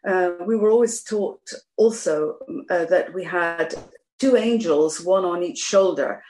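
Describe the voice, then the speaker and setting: A woman's voice: fairly low pitch, unhurried, a little mellow, a little hiss or hum. A middle-aged woman from Iran, a guest in an interview, talking hesitantly with many fillers and restarts. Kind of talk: conversational interview. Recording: roomy echo.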